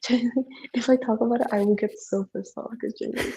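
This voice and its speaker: A woman's voice: fairly high pitch, fairly even intonation, fast talker, creaky, mellow, husky, poor audio. A young woman who grew up speaking Indonesian, venting in English in a casual podcast chat, rambling and swearing with lots of filler words. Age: young adult. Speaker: podcast co-host.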